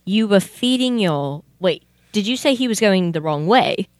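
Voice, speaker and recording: A young woman playing a businesslike, easily exasperated male detective in a comic old-time radio play, questioning in a deadpan way. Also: medium pitch, normal speed, no room sound, clean studio sound, natural voice.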